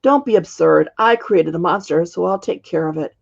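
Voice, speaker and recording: A capable storybook magician, read out by a woman, brisk and decisive. Her voice is creaky and husky, and the recording is a little muffled. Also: on the low side. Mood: firm. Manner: matter-of-fact, decisive. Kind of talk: storybook character reading.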